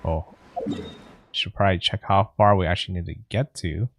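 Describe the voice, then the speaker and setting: A man's voice: deep voice, steady tone, normal speed, creaky. A man giving casual let's play commentary as a game streamer.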